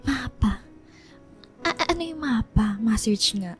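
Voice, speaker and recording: Giddy, high-voiced young woman. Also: expressive, a little slow. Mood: flustered. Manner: exaggerated, pleading, breezy. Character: giddy, stubborn young woman faking anime fandom for a crush. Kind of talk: radio drama acting. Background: music.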